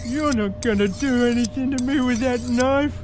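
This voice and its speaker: Man playing a very high-voiced naive patchwork man. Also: moderate pace, over music. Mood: protesting. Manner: hesitant, stammering, protesting. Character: naive, frightened patchwork man in distressed denial. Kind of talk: audio drama acting.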